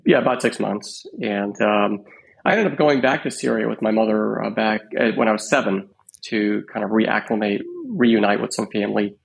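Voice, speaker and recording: A man in his fifties, a guest on a podcast, telling his life story in an unhurried, reflective way with many small pauses. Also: lowish voice, steady tone, gravelly, low-quality recording. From Canada. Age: 50s. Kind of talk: casual storytelling interview.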